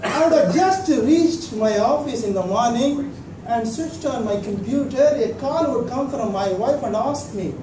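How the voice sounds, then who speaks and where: A man's voice: very high-pitched, lively intonation. Man, humorous club speech, dry storytelling, distant mic, background noise. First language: Tamil. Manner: dry storytelling, pauses for laughs. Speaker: club speaker. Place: meeting hall. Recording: roomy hall from far off with noise.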